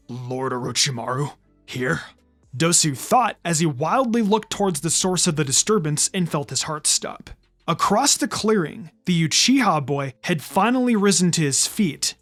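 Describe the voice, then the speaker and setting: Animated story narrator over music. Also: mid-range voice. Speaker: narrator reading fan fiction aloud. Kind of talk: dramatic scripted story narration. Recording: music under the voice. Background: background music.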